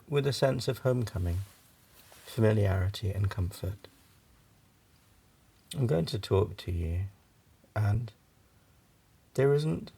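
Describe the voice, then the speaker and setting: Man, meditation guide, very gravelly creaky voice, husky, gentle, reassuring, long pauses. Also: lowish voice. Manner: unhurried, halting phrases with long pauses. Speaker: yoga nidra meditation guide.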